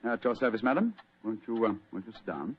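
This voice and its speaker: An urbane, shrewd British detective in a vintage radio play. His husky voice swoops in lively, theatrical fashion while staying precise and wry. The sound is dull and dated. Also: fairly low pitch, quick pace. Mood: keen, courteous. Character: shrewd, urbane, self-assured gentleman detective. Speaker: actor in a radio mystery. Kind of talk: old-time radio drama acting.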